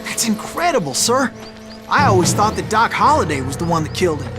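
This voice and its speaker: An actor voicing an eager, awestruck American youth, earnest and respectful, in a Western game. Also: male voice, high-pitched, very animated, fairly quick, music in the background. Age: young adult. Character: eager, awestruck youth listening to frontier tales.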